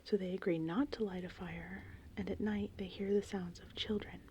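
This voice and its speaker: A woman speaking in a breathy, hushed whisper as an ASMR host, rambling informally through a film recap. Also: medium pitch, fairly even intonation, moderate pace, dull audio. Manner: informal, rambling, conversational retelling. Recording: faint background noise, rain and thunder ambience. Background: gentle rain and thunderstorm ambience.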